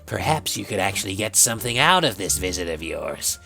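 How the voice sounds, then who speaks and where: A woman acts out a sardonic male skeleton villain for a fan fiction audio story. The voice sits extremely low and creaky, with wide, teasing swings. Every line comes as a languid, flirtatious drawl. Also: big pitch swings, brisk, sharp tone, faint music behind, full character voice. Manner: drawling, sarcastic, languid delivery.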